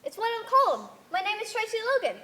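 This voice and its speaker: A woman's voice: very high-pitched, very animated, fast, bright, forceful tone, full character voice. A woman on stage playing a bewildered young woman stranded among strange cultists, alarmed, questioning and indignant. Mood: earnest explaining. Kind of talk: amateur one-act stage play acting.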